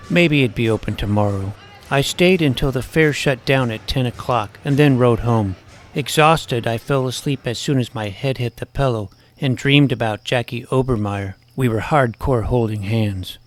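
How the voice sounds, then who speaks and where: A man playing an earnest, self-important junior high superhero who narrates his own adventure with mock-dramatic delivery. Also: normal speed, slight background noise, lightly acted. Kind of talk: scripted first-person story narration.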